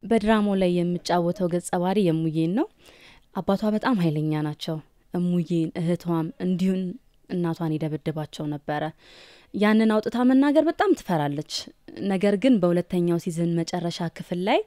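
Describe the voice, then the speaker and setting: A woman's voice: on the low side, very fast, dark tone, no echo. A woman who acts in a radio drama, describing her role in an interview. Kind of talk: documentary interview.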